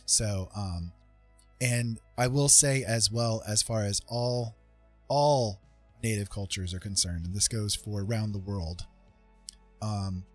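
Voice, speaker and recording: Man, American accent, middle-aged, lowish pitch, earnest halting delivery, casual reflective stream talk, music behind. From Indiana, United States. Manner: earnest, emphatic, halting with long pauses. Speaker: livestream host. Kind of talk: casual reflective livestream chat.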